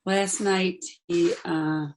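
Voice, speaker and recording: A woman acting a gentle, supportive friend in her fifties in a play reading, speaking in a reassuring way. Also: on the low side, fairly even intonation, drawn-out words, slight background noise. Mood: matter-of-fact, caring. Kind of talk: play reading, naturalistic dialogue.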